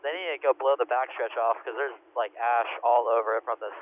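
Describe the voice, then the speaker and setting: A man's voice: medium pitch, fairly even intonation, fairly quick. A man, a racing driver, talking casually over team radio. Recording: muffled, noisy race car radio.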